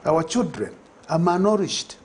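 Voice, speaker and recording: Older Zambian man, husky voice. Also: fairly high pitch, animated, measured pace, a little mellow. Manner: reflective, many fillers and restarts. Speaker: guest. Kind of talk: conversational TV interview. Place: TV studio set. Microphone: lapel.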